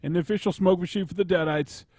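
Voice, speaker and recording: A man's voice: sits high, steady tone, quick pace, a little mellow, no echo. A man hosting a podcast in casual banter, teasing his friends crudely and swearing freely. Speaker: podcast host.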